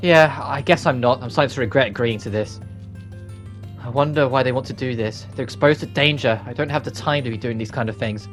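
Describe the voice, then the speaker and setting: A man with a mid-pitched voice hosts a let's play. He reads visual novel dialogue aloud and adds his own casual commentary, with faint game music underneath. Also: fairly quick.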